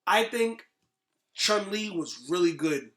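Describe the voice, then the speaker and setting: A man's voice: high voice, very animated, slow, bright, forceful tone, reverberant. A man chatting casually on a podcast about pop culture, blunt and emphatic, with slangy talk and swearing. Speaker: podcast host. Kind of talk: casual podcast chat about video game movies.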